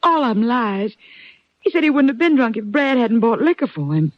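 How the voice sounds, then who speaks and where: An American woman playing a distraught, defensive rancher's wife in an old-time radio drama, with halting, dramatic delivery. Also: on the high side, lively intonation, dry recording, lightly acted. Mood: agitated, defensive. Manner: dramatic, halting, plain country grammar. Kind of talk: mid-century American radio drama acting. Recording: dull, muffled old radio recording.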